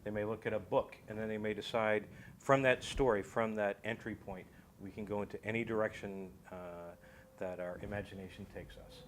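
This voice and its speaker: Male guest, lowish voice, explanatory and measured, slightly formal, faint noise behind. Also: fairly even intonation. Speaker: guest, audio drama creator. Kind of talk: explanatory interview talk.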